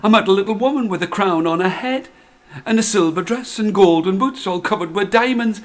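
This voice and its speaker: A man doing a squeaky, excitable old man. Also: fairly quick, close to an everyday voice. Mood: excited. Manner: high-pitched, bouncing, singsong. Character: excitable, foolish old man, a folktale husband. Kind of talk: storybook character voice.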